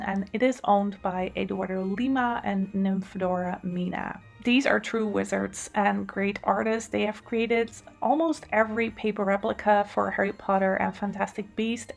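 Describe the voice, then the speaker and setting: A woman's voice: medium pitch, vocal fry. A woman in her thirties with a Dutch accent, a native Dutch speaker, presenting casually and enthusiastically as a video host. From Netherlands. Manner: chatty, enthusiastic. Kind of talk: casual presentation to camera and voice-over.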